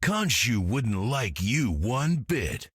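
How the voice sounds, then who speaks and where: An actor voicing a wry vigilante hero for a video game, drawling and sardonic. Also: male voice, medium pitch, sing-song, takes it slowly, a bit bright, breathy edge, no room sound, clean studio sound, exaggerated voice.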